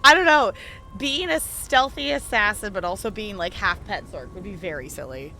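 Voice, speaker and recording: A woman's voice: medium pitch, expressive, normal speed, sharp tone, soft background music. A woman chatting casually on a game livestream, rambling and hesitant as she thinks aloud. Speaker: game streamer.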